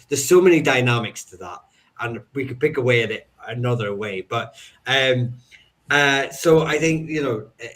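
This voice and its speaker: A man recounting medieval court cases eagerly, with quick asides and restarts. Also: medium pitch, fairly bright tone. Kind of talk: casual discussion.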